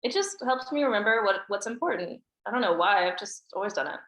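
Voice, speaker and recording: A woman's voice: medium pitch, animated, quick pace, a bit bright, close to an everyday voice. A woman at a script table read playing a guarded, pessimistic teenage girl who speaks in a subdued way. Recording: roomy echo.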